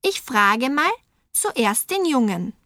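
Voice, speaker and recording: A woman with a fairly high voice interviews guests at an unhurried pace, spelling out every word for learners. Her voice is recorded dry and close, with no room sound. It has the feel of a scripted course recording. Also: very animated, bright, forceful tone, no echo. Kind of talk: scripted language-course dialogue. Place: studio.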